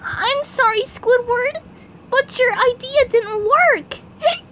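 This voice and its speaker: A woman's voice: very high-pitched, takes it slowly, fairly bright tone, full character voice. A woman voicing an eager, anxious young male sea sponge in a hobby cartoon dub, with a whiny, childlike, exclaiming manner. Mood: distressed. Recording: muffled, clearly noisy home recording.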